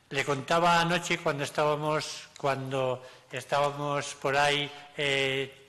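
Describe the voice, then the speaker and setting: A man introducing a guest speaker at an event, talking haltingly with many fillers. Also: mid-range voice, sharp tone. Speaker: event host. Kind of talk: formal introduction. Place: lecture hall. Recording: reverberant lecture hall.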